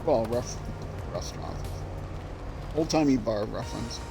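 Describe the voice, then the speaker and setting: A man's voice: mid-range voice, measured pace, vocal fry, music in the background. Rambling Minnesota man streaming a game. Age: older. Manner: rambling, self-deprecating, chuckling to himself. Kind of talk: casual gameplay commentary.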